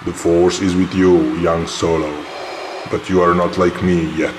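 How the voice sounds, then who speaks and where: A man playing a pompous, commanding masked dark-lord grandfather in a cartoon parody. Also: low voice, level tone, unhurried. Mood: lecturing. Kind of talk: cartoon parody character acting. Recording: loud background noise.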